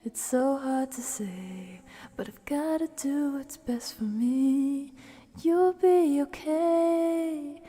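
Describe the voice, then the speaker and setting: Female ASMR singer for a request stream: high-pitched, gentle, relaxed tone, reverberant sound. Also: slow, soft background music. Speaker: streamer singing song requests. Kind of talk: soft ASMR singing stream with casual chat.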